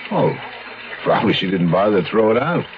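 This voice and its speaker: An actor playing a casual, blunt police detective sidekick with colloquial speech in a 1970s radio drama. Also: male voice, on the low side, big pitch swings, brisk. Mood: curious, dogged. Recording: noisy, muffled 1970s radio recording.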